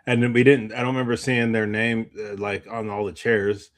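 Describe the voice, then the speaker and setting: A man with a fairly low voice talks casually as a podcast co-host. He rambles in a halting way, often dropping a sentence midway and starting it again. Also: flat delivery. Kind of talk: casual chat.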